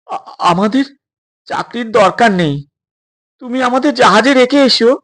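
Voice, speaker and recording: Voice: medium pitch, lively intonation, measured pace, a bit bright, voice pushed up. A man voicing a frightened, hesitant boy who pleads warily in an audio story. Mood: nervous, pleading. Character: frightened, hesitant boy, wary of his captor.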